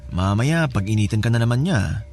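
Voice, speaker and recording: An actor playing a casual, scheming in-law who offers help with strings attached, familiar and coaxing, in a Filipino radio drama. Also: male voice, lowish voice, expressive, fairly quick, a little mellow. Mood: friendly, coaxing. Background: music bed.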